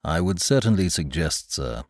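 An unflappable valet, as a male reader puts him on, speaks in a low, hushed register. Every word comes out measured and deferential. The sound is dry and close. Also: animated, dry recording. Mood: calm. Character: imperturbable male valet, formal, calm.

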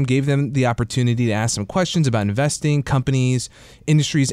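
A man's voice: mid-range voice, a little mellow. A man hosting an investing podcast in a casual, conversational way, with frequent fillers. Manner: conversational, many fillers like "you know" and "uh". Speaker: podcast host.

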